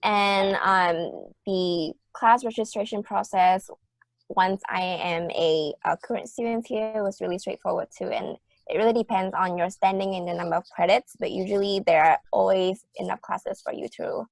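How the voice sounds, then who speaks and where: A woman's voice: medium pitch, steady tone, moderate pace, creaky, dry recording. A woman in her early twenties from Vietnam with a Vietnamese accent, giving informal answers as a student panelist. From Hanoi, Vietnam. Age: early 20s.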